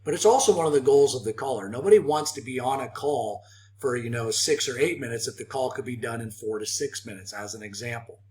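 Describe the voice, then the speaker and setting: A man with a mid-pitched voice leads a training session as an instructor. He explains things step by step, leans on key words for emphasis and regularly tags his points with a quick check-in. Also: darkish tone. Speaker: phone skills trainer.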